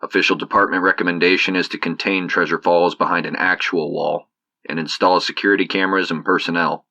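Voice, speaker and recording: An actor playing a cool, measured government investigator in an audio drama. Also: male voice, deep voice, level tone, vocal fry, pushed and bright, heavily acted. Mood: formal. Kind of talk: audio drama acting, formal report.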